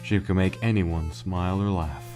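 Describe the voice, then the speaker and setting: Deep-voiced weary skeleton acted by a man. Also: steady tone, over music, lightly acted. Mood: wistful, reflective. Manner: halting, subdued, wistful. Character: weary adult male skeleton monster, guilt-laden joker. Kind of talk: dramatic fan comic dub, reflective storytelling.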